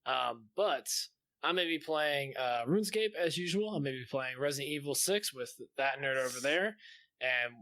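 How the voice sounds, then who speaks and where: A man's voice: medium pitch, lively intonation, moderate pace, pushed and bright, no echo. A man co-hosting a podcast with a jokey, casual, playful way of chatting. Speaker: podcast co-host and streamer. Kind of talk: casual chat.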